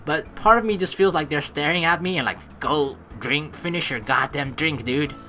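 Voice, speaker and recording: A woman's voice: sits low, normal speed, pushed and bright. A woman doing casual let's play commentary, rambling as she thinks aloud and reading game text, with some casual swearing. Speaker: let's player. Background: game music.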